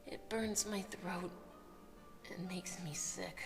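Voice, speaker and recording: A woman's voice: on the low side, steady tone, takes it slowly, creaky. A woman voicing an exhausted, bitter, sickly mother pony in an audio drama, weary and sarcastic. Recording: long reverb over loud music.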